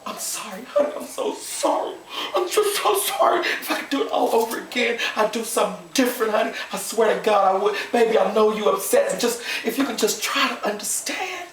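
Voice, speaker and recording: A man voicing a desperate, guilty Southern wife and mother who begs and pleads in a breathy voice. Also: fairly high pitch, quick pace, pitched above the performer's own voice, close to an everyday voice. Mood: remorseful. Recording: roomy, distant mic, faint noise.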